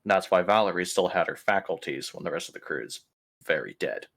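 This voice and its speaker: A man's voice: low voice, expressive, fairly quick, creaky, a bit bright, full character voice. A man playing a skeptical crew member in a tabletop sci-fi roleplay, questioning in a pointed, informal way. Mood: insistent, skeptical. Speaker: role-player in a sci-fi horror game. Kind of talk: improvised in-character tabletop roleplay.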